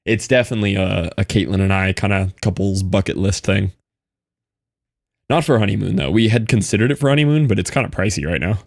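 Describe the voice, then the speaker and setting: A chatty young man talking to his stream audience as he plays, answering chat in an easy, rambling way. His voice sits on the low side, and the sound is dry and close with no room around it. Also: brisk, vocal fry, dry recording. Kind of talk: casual live-stream chat.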